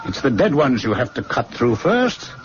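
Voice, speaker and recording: A man's voice: medium pitch, very animated, a little hiss or hum, lightly acted. An actor playing a sly, teasing, confident young medical man who needles and banters, in an old-fashioned radio drama. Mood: needling. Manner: needling, bantering, drawn-out questioning. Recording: dull lo-fi radio recording, music.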